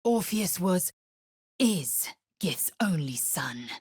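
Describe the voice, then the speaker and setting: Husky, imperious alien warrior woman. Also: on the low side, big pitch swings, slow, no echo, studio quality, heavily acted. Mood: grave, measured. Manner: imperious, clipped, formal, scornful. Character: proud, fierce, zealous female githyanki alien warrior. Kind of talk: video game character acting.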